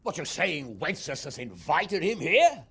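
A blunt, impatient lord with a gravelly, husky voice. His pitch swings widely and sits fairly high for a man. He talks in a sardonic, cursing way in a video game cutscene. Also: big pitch swings, a little slow, fairly bright tone, exaggerated voice.

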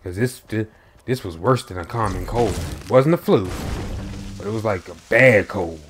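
Congested young guy w a lowish voice, nasal, chatty gaming commentary. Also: expressive, moderate pace, fairly bright tone, faint music behind. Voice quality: nasal from a head cold. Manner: chatty, talks to viewers while playing. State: congested, recovering from a cold. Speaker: gaming channel host. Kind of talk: casual gameplay commentary.

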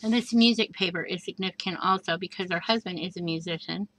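A woman casually showing a handmade journal page by page, chatty and gushing, with soft asides and plenty of fillers. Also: on the low side, quick pace, no room sound, poor audio. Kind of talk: casual show-and-tell craft walkthrough. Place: indoors at a craft table. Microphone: overhead camera above a craft table.

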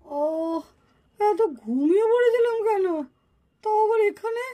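An innocent little doll child for a puppet show. The voice is extremely high and small, and the delivery is plaintive and whining. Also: female voice, expressive, unhurried, mellow. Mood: puzzled. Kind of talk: puppet cartoon acting.

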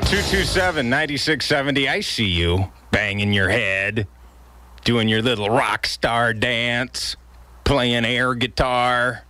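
A man's voice: mid-range voice, slow pace, bright, forceful tone. A man working as a radio DJ, talking in playful classic rock patter and bantering with callers. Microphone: radio studio microphone. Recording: music behind the voice. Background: rock music.

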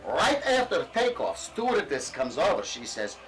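A boastful, middle-aged Russian ex-KGB peddler, as a man puts him on, hawking his wares in broken English with a thick Russian accent. The voice sits high and swings widely up and down. It sounds a little distant from the mic, with faint music underneath. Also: normal speed. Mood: pitching. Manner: broken English, salesman patter, deadpan crude jokes.

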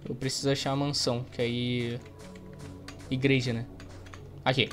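A man's voice: mid-range voice, little pitch movement, moderate pace, fairly bright tone, faint music behind. A young Brazilian man giving casual, slangy, joking gameplay commentary in a rambling way into a close desk microphone. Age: young adult. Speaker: gaming streamer. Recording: noisy, game ambience and typing. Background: game ambience, keyboard typing.

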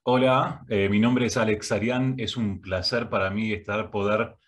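A man's voice: fairly low pitch, fairly even intonation, a little slow. A middle-aged man with an Argentine Spanish accent, giving a prerecorded video talk from a home office. He hesitates often, with many filler sounds and restarts. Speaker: guest speaker, arts education director. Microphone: webcam, head and shoulders framing.

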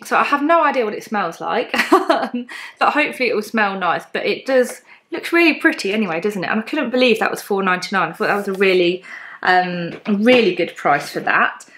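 A woman's voice: medium pitch, expressive, fairly quick, fairly bright tone. Woman, thirties, upbeat haul chat, lots of ums, sitting at home facing the camera. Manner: chatty, upbeat, lots of ums, shows each item to camera. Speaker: haul vlogger. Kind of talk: casual chatty product haul. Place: bright home living room, indoors.

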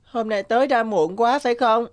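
A woman's voice: a little high, moderate pace, slight background noise, close to an everyday voice. A woman voicing a kind, humble young orphan boy in a cartoon, polite and earnest. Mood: worried.

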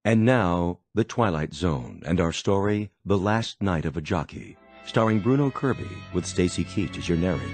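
A man's voice: low-pitched, animated, normal speed, few pauses, a little mellow, husky, faint music behind. A man announcing a radio show with a scripted introduction.

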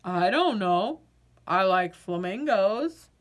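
A grumpy storybook potato acted out by a woman speaks in a dropped, low voice. It is deadpan, contrary and thoroughly unimpressed, dismissing everything flatly. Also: slow pace.

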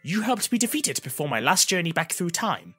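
A man's voice: a little high, very animated, a little fast, a bit bright, husky, soft background music, pitched above the performer's own voice, exaggerated voice. A man voicing a solemn, foreboding male seer who speaks formally and emphatically in a fantasy story. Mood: uneasy. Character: grave, foreboding male time-travelling seer.

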